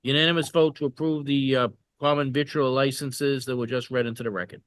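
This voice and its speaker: A man's voice: mid-range voice, steady tone, dry recording. A man chairing a town select board meeting, running through motions and roll call votes in set formal phrases. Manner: brisk formulaic procedure.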